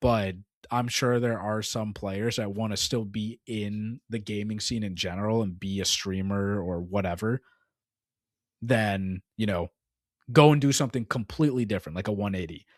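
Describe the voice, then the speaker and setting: A man's voice: fairly low pitch, normal speed, no room sound, studio quality. A young man co-hosting a casual gaming podcast, rambling and filling his talk with "like" and "dude.". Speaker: podcast co-host.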